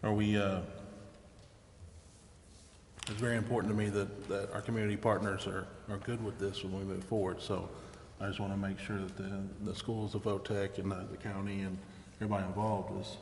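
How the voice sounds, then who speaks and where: A man's voice: on the low side, level tone, brisk. A man chairing a city committee meeting, talking informally and earnestly, repeating words as he goes. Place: city council chamber. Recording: echoey chamber, long reverb, faint hiss.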